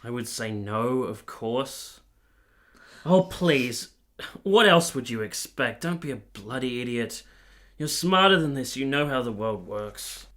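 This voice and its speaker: An actor playing a blunt but soft-hearted nineteenth-century gentleman in a radio drama, with formal phrasing. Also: mid-range voice, animated, slow pace. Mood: exasperated. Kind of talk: period radio drama acting.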